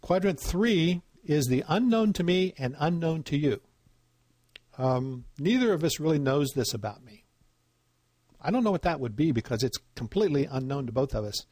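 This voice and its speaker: A man's voice: a little high, expressive, moderate pace, a little mellow, no echo. A man giving an informal lecture, talking in a conversational way with lots of fillers and asking "right?" to check in. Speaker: instructor.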